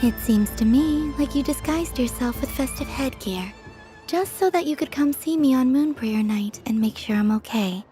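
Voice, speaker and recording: A woman voicing a serene, dreamy young maiden in a video game, calm and innocent with gentle teasing. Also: sits high, moderate pace, faint music behind, close to an everyday voice. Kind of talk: video game character voice acting.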